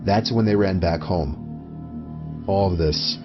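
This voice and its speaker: Low-voiced man narrating horror over music. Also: takes it slowly. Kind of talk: scripted first-person horror narration. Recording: muffled lo-fi audio under music. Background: prominent background music.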